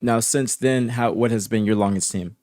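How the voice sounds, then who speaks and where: Male podcast host, low voice, easygoing, lots of ums, dry close mic. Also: normal speed, a little mellow, no room sound. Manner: easygoing, filler-heavy, stumbling restarts. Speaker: interviewer, host. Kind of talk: casual interview chat.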